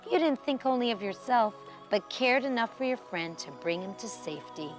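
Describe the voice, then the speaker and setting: A kind, wise fairy-tale princess , voiced by a woman reader. She speaks solemn encouragement with a very expressive rise and fall, with music playing faintly behind. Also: a little high, normal speed, voice pushed up, lightly acted. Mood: kindly, solemn.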